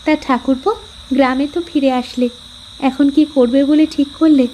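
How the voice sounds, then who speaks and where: Village sister-in-law voiced by a woman, high-pitched, homely and welcoming, cartoon drama. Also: steady tone, normal speed, mellow, a little hiss or hum, close to an everyday voice. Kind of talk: Bengali cartoon family story dialogue.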